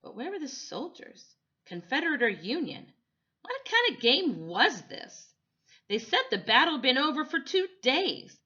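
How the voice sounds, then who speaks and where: A woman reads a children's story in a bright, forceful voice at a slow pace. Her phrasing is dramatic and deliberate, and the recording sounds a little dull. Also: high voice, wildly swooping pitch. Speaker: storytime reader. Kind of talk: expressive children's story reading.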